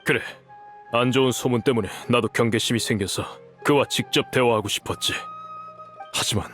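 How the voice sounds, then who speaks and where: A man voicing a dignified, gentle older merchant father in a video game, speaking in a measured, formal, remorseful way. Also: medium pitch, soft background music, natural voice. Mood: remorseful, solemn. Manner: measured, formal elder speech. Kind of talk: dubbed video game acting.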